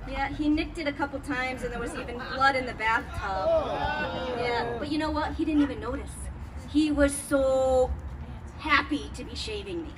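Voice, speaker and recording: A woman's voice: sits high, normal speed, distant mic, over a noisy crowd, close to an everyday voice. A woman performing a comic monologue as a wry, outspoken character airing intimate grievances. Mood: wry, resentful. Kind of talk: comic monologue in a staged reading. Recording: very noisy live venue recording.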